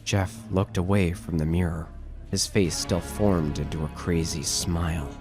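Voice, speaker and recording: Deep-voiced man narrating horror. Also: fairly even intonation, a little slow. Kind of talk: scripted horror story narration. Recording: music bed under narration.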